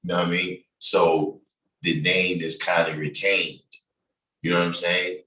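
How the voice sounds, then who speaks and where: A very low-voiced New York man talks in a loose, rambling way, full of fillers. He sounds set back from the microphone in an echoey room. He is middle-aged and hosts his own livestream. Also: little pitch movement, a little slow, bright, forceful tone. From New York City, Bronx. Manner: loose, conversational, many fillers. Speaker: livestream host. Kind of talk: casual livestream talk. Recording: echoey room, distant from mic.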